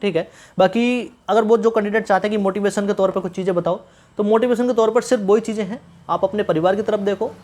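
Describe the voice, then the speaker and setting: A man's voice: high-pitched, darkish tone. A man giving an informal explainer and advice to viewers in an instructive way, repeating phrases for emphasis. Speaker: exam news YouTuber addressing candidates.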